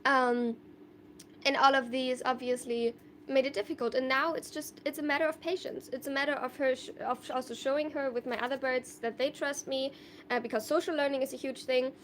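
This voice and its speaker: High-voiced German woman chatting on a live stream. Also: fairly even intonation, brisk, fairly bright tone, slight background noise. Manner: chatty and thoughtful, many fillers and restarts. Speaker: livestreamer. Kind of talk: casual live-stream chat answering viewer questions.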